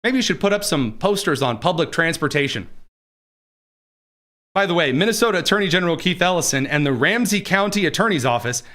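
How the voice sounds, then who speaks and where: Male host from Indiana, sarcastic and mocking, with a high, lively voice. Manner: mocking, sarcastic, quick asides. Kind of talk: sarcastic political commentary.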